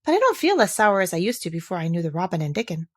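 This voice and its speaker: A woman's voice: fairly low pitch, big pitch swings, racing. A woman voicing a frank, matter-of-fact, earnest young girl in a storybook reading. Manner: plain-spoken, earnest, childlike.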